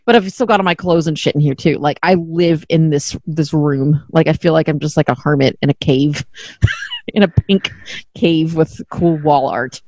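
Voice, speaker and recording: A woman's voice: deep voice, moderate pace, a little mellow, no echo. A middle-aged woman hosting a podcast in casual chat, candid and self-deprecating, swearing freely. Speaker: podcast host.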